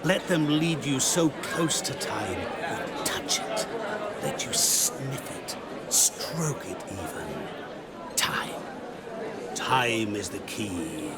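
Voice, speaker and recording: An actor playing a mysterious, theatrical man who teases a crowd with riddles in a video game, speaking in a savouring, deliberate manner. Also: medium pitch, sing-song. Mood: teasing, savouring. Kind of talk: video game dramatic acting. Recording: crowd noise in a public space.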